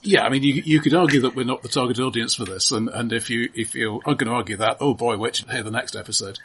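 A man co-hosting a film podcast, giving dry, measured commentary in casual discussion. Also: mid-range voice, background noise. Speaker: podcast co-host reviewing films.

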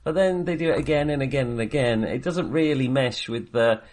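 A man's voice: medium pitch, a little fast, darkish tone. A man co-hosting a casual film discussion, rambling and often correcting himself as he goes. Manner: hesitant, rambling, self-correcting. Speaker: podcast co-host reviewing films.

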